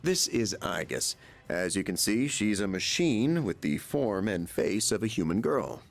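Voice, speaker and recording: A man's voice: fairly low pitch, big pitch swings, unhurried, gravelly, soft background music, heavily acted. An actor playing a polite, genial chairman who explains things in a video game. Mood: explaining. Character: polite adult male chairman of a secret research group.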